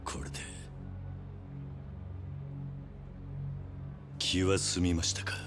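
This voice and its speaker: An actor playing a stoic, principled yakuza lieutenant in a video game, speaking in a restrained, terse, resolute way. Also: male voice, sits low, monotone, a little fast, lightly acted. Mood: stoic, firm. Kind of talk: dramatic game cutscene acting. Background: background music.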